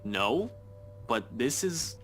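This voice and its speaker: A man's voice: medium pitch, sing-song, very slow, a bit bright, exaggerated voice. Defensive young man over music. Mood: hesitant, doubtful. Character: defensive, skeptical young man, troubled and exasperated boyfriend type. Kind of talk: visual novel voice acting. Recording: game dialogue over music. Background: game music.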